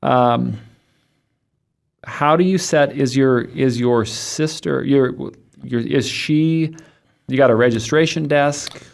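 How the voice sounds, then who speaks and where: A soft-spoken man hosting a podcast, steering the talk with short prompts and follow-up questions. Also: mid-range voice, slow pace, gravelly. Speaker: podcast host. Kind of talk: casual interview questions.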